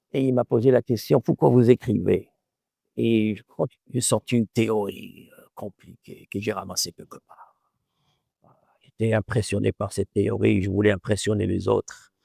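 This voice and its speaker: A middle-aged Moroccan-born man whose first language is Arabic speaks in a deeply gravelly voice. He answers an interviewer thoughtfully, circling and repeating words as he works out his point. The recording is dry, with no room sound. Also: dark tone, no room sound. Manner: thoughtful, repeats words while searching for phrasing. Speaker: author and professor.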